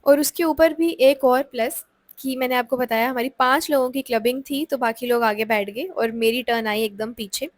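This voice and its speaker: A woman's voice: a little high, steady tone, normal speed, no room sound. A young woman, narrating her vlog in a chatty voice-over and mixing in English, with a sore throat from a cold. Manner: chatty Hinglish storytelling. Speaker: vlogger. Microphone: close voice-over mic.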